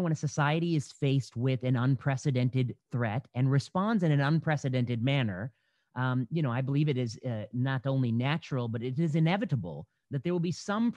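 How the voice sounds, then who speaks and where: A man's voice: mid-range voice, darkish tone, dry recording. A young man hosting a podcast interview over a video call from home, talking in a thoughtful way with many fillers and restarts. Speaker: podcast host. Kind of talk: conversational interview. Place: home room. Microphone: headset mic on a video call. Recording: dull, dry video call audio.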